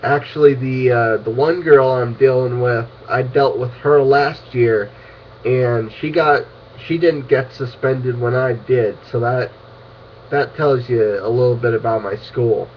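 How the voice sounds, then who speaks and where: A young man with a medium-pitched voice talks over a two-way radio, slightly noisy and muffled. His manner is casual and agreeable, in the loose style of operators chatting on air. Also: fairly even intonation, measured pace. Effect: two-way ham radio. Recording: muffled radio audio, faint noise.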